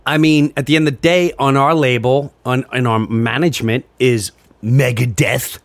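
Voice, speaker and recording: A man hosting a podcast in casual chat, telling stories in an animated way and acting out what others said. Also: medium pitch, moderate pace, no room sound. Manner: animated storyteller, acts out quoted remarks. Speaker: podcast host and comedian.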